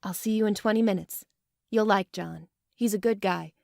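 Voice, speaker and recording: A woman's voice: medium pitch, normal speed, gravelly, a little mellow, dry recording, clean studio sound, natural voice. A woman playing a friendly, chatty wasteland patroller in an audio drama, soothing and familiar in manner. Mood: reassuring. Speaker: voice actor.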